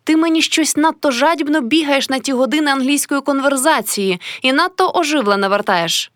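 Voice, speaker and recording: A woman's voice: high voice, fairly quick, no echo, clean studio sound, pitched above the performer's own voice, lightly acted. A woman voicing a passionate, proud young female artist with an intense, dramatic delivery, as in an audiobook story. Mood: scornful, accusing.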